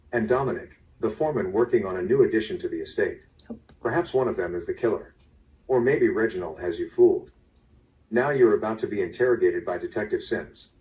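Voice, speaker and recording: A man playing the narrator-host of an interactive murder mystery, speaking in a measured way directly to the listener. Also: fairly low pitch, warm tone, close to an everyday voice. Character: male narrator-host guiding a player through a murder mystery. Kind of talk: scripted interactive drama narration. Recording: played through speaker, slightly distant.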